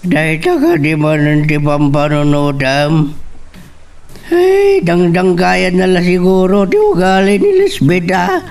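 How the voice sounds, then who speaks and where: Man acting a high-pitched, concerned father. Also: very animated, takes it slowly, darkish tone, soft background music, full character voice. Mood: concerned, coaxing. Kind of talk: radio drama acting.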